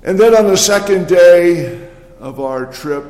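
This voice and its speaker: An older man speaking as a pastor, giving a folksy, conversational sermon with halting, repeated words in a large reverberant hall. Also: sits high, lively intonation, darkish tone. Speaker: pastor preaching. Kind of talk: sermon with folksy personal storytelling. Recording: echoey hall with long reverb.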